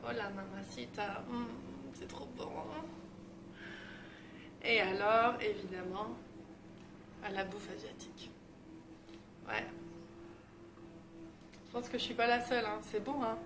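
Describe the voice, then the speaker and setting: A woman's voice: mid-range voice, fairly even intonation, slow pace, pauses often. A young woman in her twenties chatting casually in a vlog with lots of fillers. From Belgium. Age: 20s. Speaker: YouTuber. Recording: roomy echo, distant mic, noisy.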